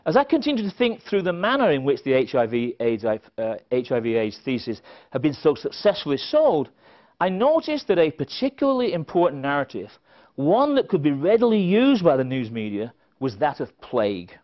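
A middle-aged man speaks from a conference podium in a fairly high, very animated voice. He delivers a prepared lecture with wry asides and pointed emphasis. The recording sounds a little dull. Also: moderate pace. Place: indoor stage at a conference podium. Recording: slightly dull, band-limited event recording.

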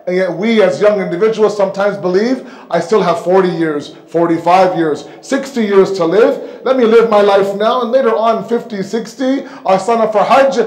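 Male preacher, high-pitched voice, emphatic sermon delivery, repeats for stress, roomy echo. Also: normal speed, hardly any pauses, a little mellow. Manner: emphatic, with repetition for stress and rhetorical questions. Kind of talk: sermon lecture. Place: indoor mosque hall, seated at the pulpit. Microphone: microphone on a stand close in front. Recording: echoey hall sound.

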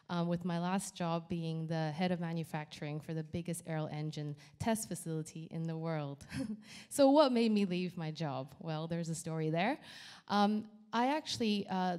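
Brief: middle-aged woman, founder pitching at an event, dark mellow tone, handheld mic. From Canada. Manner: enthusiastic, with small restarts. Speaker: company founder giving a pitch. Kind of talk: prepared stage talk. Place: event venue with brick wall and audience. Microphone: handheld microphone.

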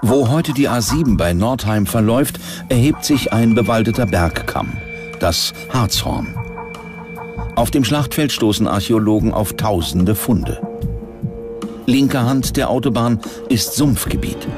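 A man's voice: on the low side, animated, a little slow. A man reading scripted documentary narration as the narrator. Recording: music under the voice. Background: prominent music.

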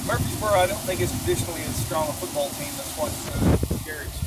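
A high-pitched man talks casually from a little way off the mic. He explains things haltingly, circling back over his phrases, under loud car and road noise. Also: recorded from a distance. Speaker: companion on a road trip. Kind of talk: casual chat. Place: inside a moving car.

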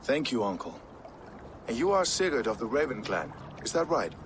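A man playing a calm, courteous Norse king in a video game, measured and formal. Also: medium pitch, background noise, close to an everyday voice. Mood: composed, diplomatic. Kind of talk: dramatic video game acting.

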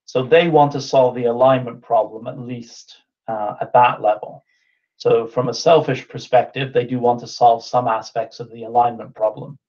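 A man's voice: mid-range voice, fairly even intonation, moderate pace, a little mellow. Man on a muffled video call. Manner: thinking aloud, with restarts and fillers. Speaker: invited speaker. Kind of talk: online talk then Q&A answers. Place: indoor room with whiteboard. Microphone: webcam on a video call. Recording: dull lo-fi video call audio.

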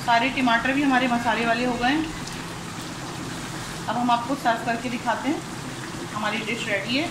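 A woman with a Pakistani Urdu accent, calmly talking through a cooking demonstration step by step in her home kitchen. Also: a little high, moderate pace. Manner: calm step-by-step explaining. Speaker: cooking channel host. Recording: echoey kitchen with sizzling noise.

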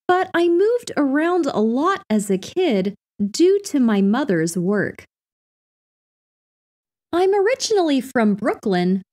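A woman reads short practice phrases for an English lesson, slowly and with clear, careful enunciation. Her voice sits a little high, and her pitch moves up and down in a lively way. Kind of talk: slow scripted reading of practice phrases.

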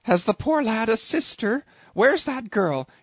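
A shrill, flustered innkeeper woman who scolds and exclaims at every turn, put on by a male audiobook reader. Her voice climbs high and swings widely, and it stays loud and pressing. The recording is close and dry. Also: sing-song, a little slow, husky, dry recording, exaggerated voice. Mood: frantic. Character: shrill bustling middle-aged innkeeper woman.

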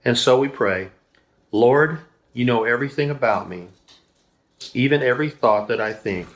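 Male, fifties, mid-range pitch, children's devotional reader reading from the page. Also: moderate pace. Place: indoors in a room at home, seated at a table.

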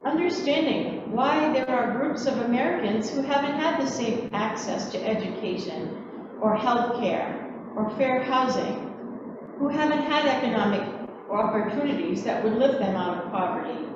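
An older woman preacher giving a sermon from a church pulpit in measured, deliberate phrases. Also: a little high, expressive. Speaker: Episcopal preacher. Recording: echoey church from far off, muffled, noisy.

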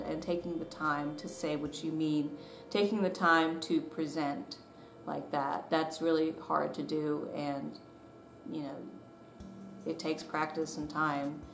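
Low-pitched woman vlogger in an echoey room. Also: a bit bright. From southern US, Tennessee and Georgia. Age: 30s. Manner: chatty, self-deprecating, giggly. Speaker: author and vlogger. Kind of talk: casual personal storytelling to camera. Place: small living room at home, indoors. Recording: echoey room with background noise.

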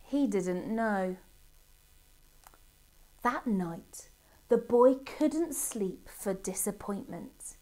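A middle-aged woman reading a children's storybook aloud expressively, with deliberate dramatic pauses. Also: mid-range voice, expressive, darkish tone. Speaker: storybook reader. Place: indoor room by a Christmas tree. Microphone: camera a short distance away.

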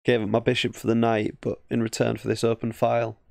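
A man's voice: medium pitch, completely flat delivery, fast, vocal fry, darkish tone, dry recording, studio quality. A man with an English accent giving casual, thinking-aloud game commentary as a chess streamer, often muttering half-finished thoughts. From England.

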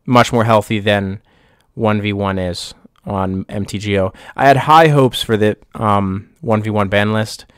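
A young man hosting his channel, giving relaxed gameplay commentary, his voice a bit off from getting over the flu, thinking aloud with pauses and restarts. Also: lowish voice, clean tone, dry recording, clean studio sound. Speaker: YouTube channel host. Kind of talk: casual gameplay commentary over screen recording.

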